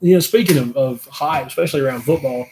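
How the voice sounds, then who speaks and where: A man's voice: medium pitch, quick pace, a little mellow, rough recording. Male co-host in his thirties, casual chat, emphatic, faint hiss behind. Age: 30s. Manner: emphatic, repeats words for effect. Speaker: podcast co-host.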